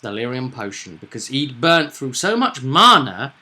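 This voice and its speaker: A man talking casually as a game master, recapping and explaining a tabletop roleplaying session in a halting, thinking-aloud way. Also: medium pitch, sing-song, bright, forceful tone.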